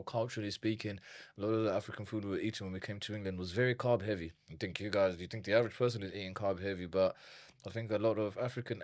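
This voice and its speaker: Low-voiced man from Zimbabwe. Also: dry recording. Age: 30s. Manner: animated, repeats words when thinking. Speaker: nutrition coach guest. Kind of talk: casual interview chat.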